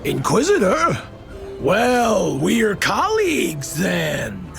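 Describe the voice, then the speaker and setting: A swaggering executioner in a medieval fantasy game, drawling slowly in a creaky voice. His pitch rides high and lurches wildly, menacing and darkly jovial. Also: huge pitch swings, soft background music, full character voice. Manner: drawling, theatrical. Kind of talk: video game voice acting.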